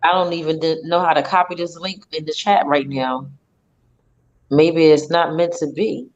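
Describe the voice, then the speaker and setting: A woman hosting a casual live stream, chatty and quick to agree, often restarting her sentences. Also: low-pitched, fairly even intonation. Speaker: host of a live talk stream. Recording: slightly dull lo-fi stream audio.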